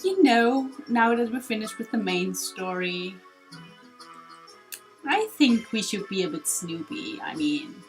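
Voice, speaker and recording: A woman's voice: mid-range voice, animated, slow, rough recording. A young woman hosting a let's play, giving casual, rambling game commentary with some hesitation. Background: faint game music.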